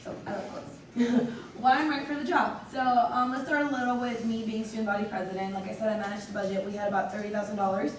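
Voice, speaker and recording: A woman's voice: mid-range voice, fairly even intonation, fast talker. A woman in her twenties from Venezuela introducing herself as a student nominee, upbeat. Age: 20s. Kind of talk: self-introduction speech. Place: large echoey meeting hall. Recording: echoey hall, far from the mic, noisy.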